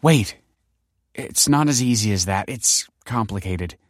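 A man's voice: medium pitch, fairly quick, no echo, studio quality, pitched above the performer's own voice, natural voice. A man voicing a distraught, defensive wife who pleads tearfully and justifies herself in a dramatic story reading. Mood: begging. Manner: pleading, self-justifying, emotional. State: tearful, sniffing.

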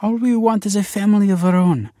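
A man voicing a desperate woman in an audiobook, pleading in an emotional, breathy way. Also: sits high, fast talker, mellow, dry recording, studio quality, voice pushed up, natural voice.

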